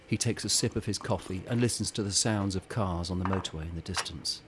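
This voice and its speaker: Deep-voiced male audio drama narrator. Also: fairly quick, background noise.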